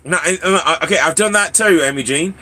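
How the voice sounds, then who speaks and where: A man's voice: a little high, a little fast, bright, forceful tone. A man chatting casually on a livestream in a put-on British accent, rambling through stories with playful character-voice touches. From Louisiana, United States. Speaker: livestream host. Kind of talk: casual livestream chat with anecdotes.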